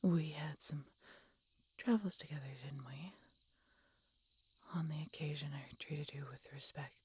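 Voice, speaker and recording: A woman voicing a tender, regretful, dying she-dragon in an audio roleplay, breathy and whispery, speaking haltingly with weak, labored breath. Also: deep voice, a little mellow, a little hiss or hum. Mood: sorrowful, apologetic. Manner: slow, halting, labored speech with pauses and gasps. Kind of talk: audio roleplay, intimate dramatic monologue.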